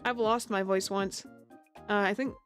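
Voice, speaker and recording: A woman's voice: medium pitch, steady tone, measured pace. A woman chatting casually on a game livestream, giggly, rambling and self-deprecating, speaking close to the microphone. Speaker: livestreamer, amateur voice actor. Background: music.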